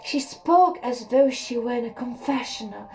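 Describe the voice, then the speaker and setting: A young woman reading a literary story aloud in a slow, hushed, measured way, with an occasional slip. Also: fairly high pitch. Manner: slow, hushed and measured, with a few slips and laughs. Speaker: audiobook reader.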